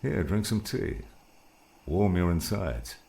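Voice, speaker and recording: A man voicing a gruff older mountaineer mentor in an audiobook, gravelly, wry and musing. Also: very animated, slow, mellow, exaggerated voice. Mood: hearty, welcoming. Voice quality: gravelly, very deep.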